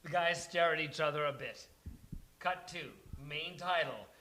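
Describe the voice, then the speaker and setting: A man's voice: on the high side, measured pace, sharp tone, poor audio. A man hosting a live table read and narrating the stage directions. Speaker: host and stage-direction reader. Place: live venue before a studio audience. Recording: faint background noise, live event.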